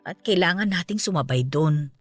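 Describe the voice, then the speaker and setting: A steady, practical aunt. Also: female voice, lowish voice, moderate pace. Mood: somber. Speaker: aunt in a radio drama. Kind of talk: radio drama acting. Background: faint background music.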